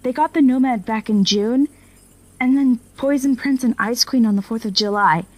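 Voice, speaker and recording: An earnest female informant played by an actress with a slightly high voice. She speaks tentatively and loyally, as in a natural conversation within an audio drama. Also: normal speed, darkish tone, lightly acted. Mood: reporting, unsure.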